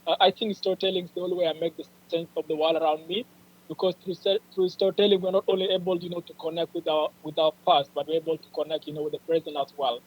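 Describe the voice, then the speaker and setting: A young man with an East African accent speaks quickly in a fairly high voice. He answers as a panelist on a video call, telling personal stories in an eager, halting way. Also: level tone, low-quality recording. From South Sudanese, raised in Ethiopia and Kenya. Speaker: panelist, youth advocate.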